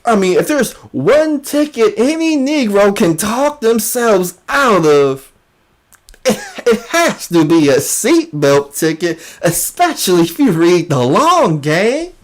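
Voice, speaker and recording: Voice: mid-range voice, sing-song, slow pace. An online commentator delivering a mocking call-out, emphatic and incredulous, often repeating phrases for effect. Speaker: YouTube commentator.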